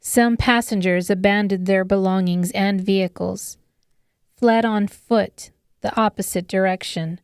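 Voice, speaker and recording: Female narrator for dramatic fiction audiobook. Measured pace, resonant tone, very dry sound. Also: mid-range voice, steady tone, clean tone, no room sound. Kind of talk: scripted dramatic story narration.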